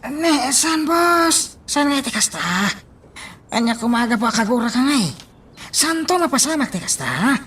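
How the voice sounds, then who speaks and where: A man's voice: very high voice, lively intonation, moderate pace, fairly bright tone, slight background noise. A man with an Ilocano accent playing an anxious henchman in a radio drama. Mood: placating. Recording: distant voice over music.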